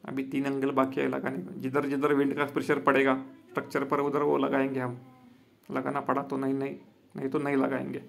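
A man's voice: mid-range voice, completely flat delivery, fairly quick, gravelly, fairly bright tone. A man, plainly walking viewers through a job on an outdoor rooftop and recording on a handheld phone. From Maharashtra, India. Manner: plain, repetitive, addresses viewers as friends. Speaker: solar installer and business owner. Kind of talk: walkthrough video commentary.

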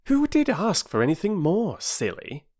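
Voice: mid-range voice, sing-song, normal speed, pitched above the performer's own voice, lightly acted. A narrator voicing a blunt, cocky young boy in a children's storybook. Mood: blunt, proud.

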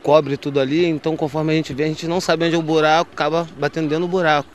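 A man's voice: on the high side, level tone, rapid-fire. A young man wearing a helmet, interviewed outdoors on a street into a handheld mic, explaining his complaint. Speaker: resident interviewed. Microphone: handheld reporter mic.

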